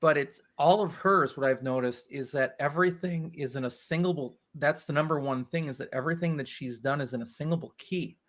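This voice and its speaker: A man's voice: on the high side, fairly quick, few pauses, fairly bright tone. A man with a tenor voice hosting a podcast, chatty and thinking aloud with frequent likes and you-knows. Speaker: podcast host. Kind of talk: casual interview chat.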